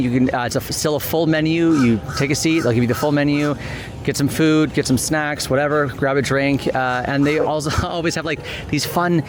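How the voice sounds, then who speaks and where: A man's voice: fairly high pitch, background noise. A man hosting an unscripted review, chatting casually in a hesitant, meandering way outdoors. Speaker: vlog host. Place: outdoors at a shopping and dining complex.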